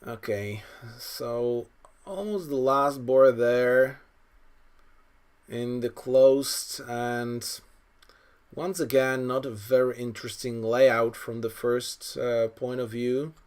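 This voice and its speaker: Slow, unhurried male bridge commentator. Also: mid-range voice, steady tone, darkish tone, smooth. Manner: thinks aloud, analytical, unhurried. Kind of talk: live card game commentary.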